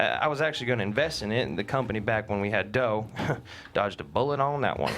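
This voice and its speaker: A man reading the part of a crude, rambling washed-up husband who clears his throat a lot, at a table read. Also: mid-range voice, a bit bright, natural voice. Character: crude washed-up husband Bert, rideshare driver.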